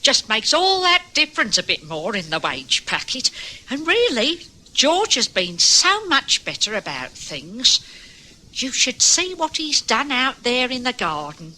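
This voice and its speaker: Chatty housewife, cheerful and homely, very animated and piercingly bright, for radio drama. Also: female voice, high voice, unhurried, a little hiss or hum, full character voice, poor audio. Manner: chirpy, homely, eager.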